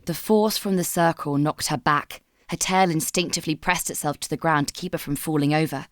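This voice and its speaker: A woman narrating scripted dramatic fan fiction as a storyteller, in a measured, solemn manner. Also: sits low.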